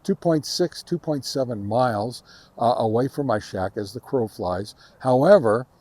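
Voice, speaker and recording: A man of average pitch hosts a casual gear test outdoors. He speaks haltingly, feeling his way through the explanation as he narrates each step. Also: animated, warm tone, no room sound. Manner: halting, hesitant delivery. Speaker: host of a radio gear review channel. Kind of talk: casual field-test commentary. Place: outdoors in a park.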